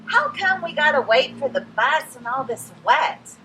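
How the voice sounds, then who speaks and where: A woman acts out a small storybook boy, high and bright, full of whiny questions. He sounds curious and put out, outdoors with some noise. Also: sing-song, a little slow, full character voice, poor audio. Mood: complaining. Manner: whining, questioning. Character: young boy, curious and complaining.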